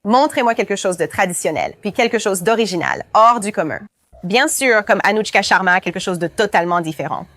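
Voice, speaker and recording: A woman's voice: mid-range voice, brisk, fairly bright tone, no room sound, natural voice. A woman voicing a young bride-to-be who wants something original, in a dubbed reality show. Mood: eager. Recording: music playing under the voice.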